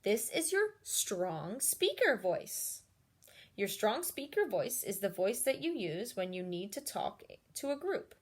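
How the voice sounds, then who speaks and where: A woman's voice: medium pitch, sing-song, takes it slowly. A woman voicing a kind, patient storybook schoolteacher who coaches a pupil in an encouraging, instructive way. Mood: explaining.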